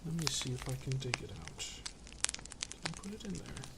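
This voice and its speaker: An ASMR streamer chatting casually to viewers, soft-spoken and drifting into whispers, close to the microphone. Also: medium pitch, animated, a little fast, gravelly, heavy background noise.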